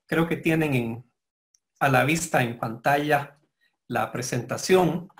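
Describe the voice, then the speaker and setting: A man delivers a formal lecture in a mid-range voice. He speaks in a measured, explanatory way, pausing now and then and correcting himself as he goes. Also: medium pitch, a bit bright. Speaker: guest lecturer.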